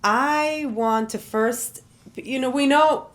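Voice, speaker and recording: A woman from Israel hosting a podcast, speaking casually and warmly with lots of fillers. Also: sits high, slow. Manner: warm, rambling, lots of "like" and "you know". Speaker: podcast host. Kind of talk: casual conversational interview.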